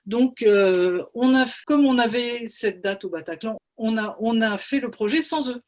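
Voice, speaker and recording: A woman's voice: on the high side, measured pace, a little mellow. A woman musician answering questions on a panel, speaking hesitantly with frequent restarts. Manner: hesitant, many restarts and fillers. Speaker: musician and project leader. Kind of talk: panel discussion.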